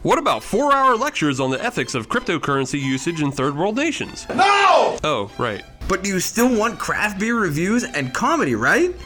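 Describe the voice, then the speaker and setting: An excitable, pushy comic ad pitchman, acted by a man, with pitch that swoops all over. He delivers a scripted podcast promo over clear background music. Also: normal speed, full character voice. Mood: excited pitch. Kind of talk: comedic scripted ad read.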